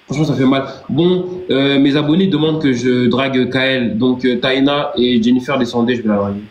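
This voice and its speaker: A man's voice: mid-range voice, a little fast, a little hiss or hum. Theatrical man hosting a live stream. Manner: theatrical mock outrage, stammering repeats. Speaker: livestream host. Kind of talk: casual livestream chat. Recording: roomy, dull lo-fi sound. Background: music.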